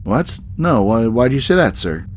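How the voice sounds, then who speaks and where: A man's voice: medium pitch, big pitch swings, normal speed, natural voice. A weary starship captain in a sci-fi audio drama, played by a male actor. He speaks in a middling male register, hesitant and politely dry, tripping over his words. There is faint noise behind him. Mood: deferential, puzzled. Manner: hesitant, stammering. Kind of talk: science fiction audio drama acting.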